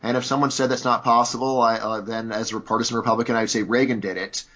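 A man's voice: mid-range voice, steady tone, fast, bright, forceful tone, muffled recording. A man speaking as a guest in a conversational interview, arguing his views at length and leaning on "you know" and "like". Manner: argumentative, lots of "you know" fillers.

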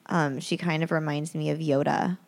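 A woman's voice: low-pitched, little pitch movement, normal speed, gravelly, a little mellow. A woman talking casually as a podcast guest, rambling with many fillers and restarts, and joking at her own expense. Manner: rambling, self-deprecating, lots of fillers and restarts. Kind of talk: casual chat.

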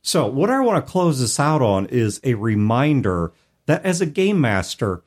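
A man's voice: medium pitch, very animated, normal speed. A man hosting a podcast in a casual, conversational style, discussing and giving advice. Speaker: podcast host.

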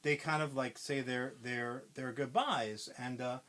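A man's voice: medium pitch, steady tone, measured pace, a bit bright. A man co-hosting a podcast, casually retelling a movie plot in a rambling way, with constant swearing and lots of fillers. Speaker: podcast co-host.

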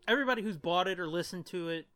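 A man's voice: high voice, rapid-fire, a bit bright, no echo. A man hosting a casual podcast, chatting in slangy language with plenty of swearing. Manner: profane, slangy. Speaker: podcast host.

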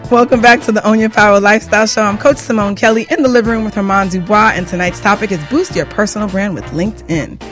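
A woman's voice: mid-range voice, steady tone, fast talker, few pauses. A woman hosting a radio show in casual, playful, bantering chat. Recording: music behind the voice. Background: music.

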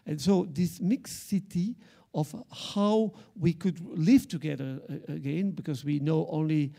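A middle-aged man with a Flemish accent, an arts center director speaking at a panel, halting with many fillers and restarts. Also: a little high, gravelly, mellow. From Belgium. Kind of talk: panel discussion remarks.

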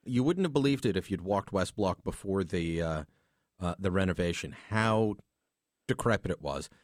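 A man's voice: sits low, animated, moderate pace, dry recording, clean studio sound. A man hosting a call-in radio talk show, chatting easily with callers and laughing often.

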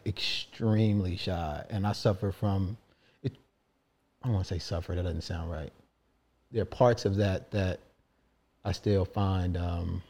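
Low, mellow-voiced man speaking softly. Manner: soft-spoken and reflective, hesitant with self-corrections. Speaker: interviewer. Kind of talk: conversational interview.

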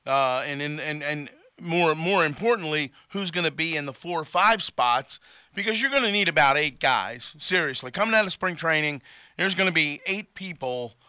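A man's voice: fairly high pitch, expressive, moderate pace, sharp tone, smooth, dry recording. A man co-hosting a sports radio show, talking casually as a baseball reporter.

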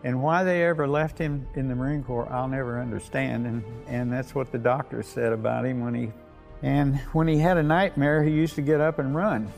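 Creaky elderly American man reminiscing. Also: medium pitch, steady tone, a little mellow, faint music behind. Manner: plain-spoken, halting storytelling. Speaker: war veteran. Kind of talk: unscripted reminiscence.

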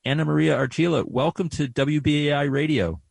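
A male radio host, reading a guest introduction in a measured way. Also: on the high side, gravelly, husky, dry recording. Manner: measured, reads prepared introductions. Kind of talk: radio interview.

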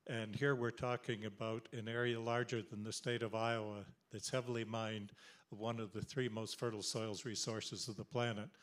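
A man's voice: fairly low pitch, level tone, normal speed, fairly bright tone. A man speaking from the audience at a policy seminar. He presses his point emphatically, stumbling over restarts as he goes. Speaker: audience member.

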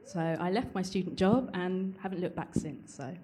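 A woman's voice: lowish voice, normal speed, warm tone, slight background noise. A female screenwriter on a live panel, telling her start plainly and concisely.

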